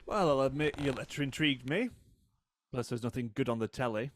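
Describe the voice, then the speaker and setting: An actor playing a cool, needling British man in a drama, a wronged brother with a sardonic, deliberate way of talking. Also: medium pitch, slight background noise, natural voice. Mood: dry, dismissive. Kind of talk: audio drama acting.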